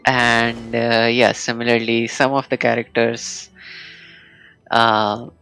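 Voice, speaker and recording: Male game streamer, Indian accent, bright tone with some fry, casual bilingual chat, music underneath. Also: medium pitch, level tone, slow pace, rough recording. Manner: switches between English and Hindi mid-sentence. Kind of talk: casual livestream gaming chat.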